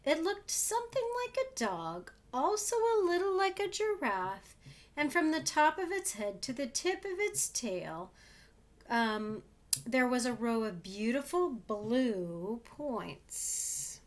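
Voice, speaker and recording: A woman reads a picture book aloud to young children. Her voice is a little high and her pace is slow, with expressive narration and gentle explanatory asides. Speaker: storybook reader for children.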